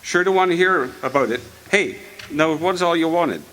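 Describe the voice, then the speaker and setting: A man's voice: fairly high pitch, lively intonation, moderate pace, fairly bright tone, rough recording. A man acting in a radio drama as a friendly, nosy small-town storekeeper with a homespun, rustic way of talking. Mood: folksy, curious.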